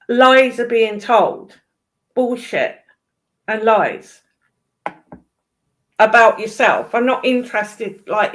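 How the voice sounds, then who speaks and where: A woman's voice: fairly high pitch, fairly bright tone. A woman chatting casually in an exasperated, emphatic way, with some casual swearing. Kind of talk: casual chat.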